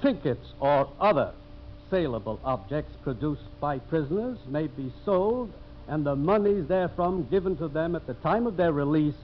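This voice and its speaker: An American man playing a composed frontier judge in a 1950s radio drama, measured and official. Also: fairly high pitch, animated, natural voice. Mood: stern, official. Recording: muffled, hissy vintage radio recording.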